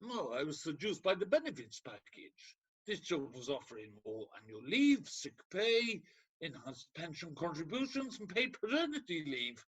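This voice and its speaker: Man acting a husky, blunt macho old-world soldier. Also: fairly high pitch, very animated, moderate pace, fairly bright tone, full character voice, poor audio. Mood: indignant, proud. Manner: blunt, sardonic. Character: gruff macho Spartan ex-soldier turned counsellor, secretly soft. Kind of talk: radio play acting.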